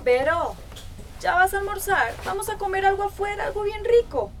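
A woman's voice: very high-pitched, animated, moderate pace, background noise. A woman with a Costa Rican accent playing a worried, coaxing, affectionate mother in a radio soap opera.

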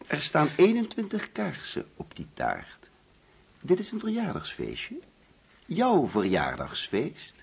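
An actor in a radio play as a grieving, middle-aged father, menacing and controlling, speaking in a deliberate, coaxing yet commanding way. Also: a little high, huge pitch swings, gravelly, breathy edge, exaggerated voice. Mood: solemn, insistent. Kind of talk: radio drama acting.

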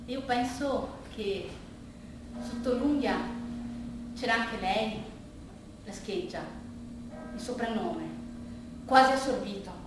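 A woman performing a colloquial, bittersweet theatrical monologue, telling it in a halting, conversational way with hushed asides. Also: a little high. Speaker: monologue actress and narrator. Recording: very roomy, distant stage recording, faint noise.

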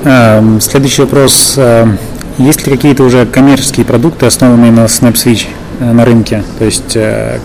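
A man asking technical questions as a podcast interviewer, pausing often as he phrases them. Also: mid-range voice, steady tone, darkish tone, slight background noise, rough recording.

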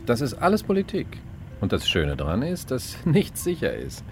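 An actor playing a smug, calculating official, condescending and wry, in a radio crime drama. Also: male voice, mid-range voice, huge pitch swings, moderate pace, a little hiss or hum. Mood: calculating, self-satisfied. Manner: smooth-talking, condescending, wry. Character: smug, calculating male official, cool and ambitious.

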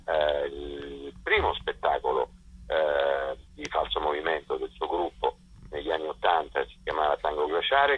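Deep, husky man on a muffled line. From Italy. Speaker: festival director presenting a programme. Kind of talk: spoken radio presentation. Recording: muffled, lo-fi radio or telephone sound.

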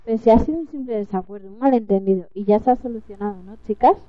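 A woman's voice: medium pitch, quick pace, dry recording, lightly acted. A woman playing a forthright, peacemaking young witch prefect in an amateur radio play. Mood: conciliatory. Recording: dull home-made recording.

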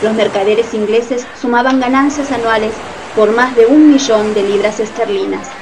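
A woman's voice: a little high, moderate pace, far from the mic, background noise. A woman reading scripted narration for a radio play. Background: music.

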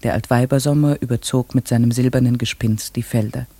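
Low female voice, strict schoolteacher, earnest, measured, precise, classic radio play. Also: steady tone, mellow, husky, dry recording, full character voice. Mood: reflective, measured. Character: earnest, strict village schoolteacher, a woman narrating her memories. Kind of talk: classic German radio play acting, literary narration.

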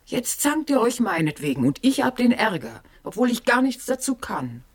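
A woman's voice: a little high, very animated, normal speed. An actress in a radio play as a dead woman's ghost, cool, laconic and reproachful.

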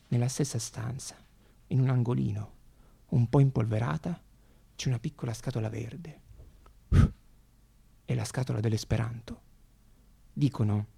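A man performing a theatrical storytelling monologue, with dramatic pauses, repeated phrases and shifts between hushed and emphatic delivery. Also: medium pitch, gravelly, slightly rough. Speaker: actor and monologuist.

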